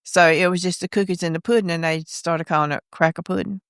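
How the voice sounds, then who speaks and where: A woman's voice: lowish voice, steady tone, fast, smooth, no room sound, studio quality. A middle-aged woman with a South Carolina accent, chatting casually on a podcast, laughing often and trailing off as she searches for words. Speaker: guest, mother of a son in recovery.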